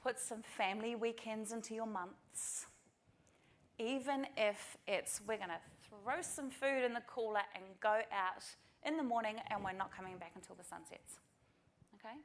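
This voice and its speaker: A woman's voice: on the high side, moderate pace, slight background noise. A middle-aged woman from New Zealand with a New Zealand accent, giving a practical, earnest conference talk straight to the audience. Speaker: conference speaker. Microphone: presentation mic.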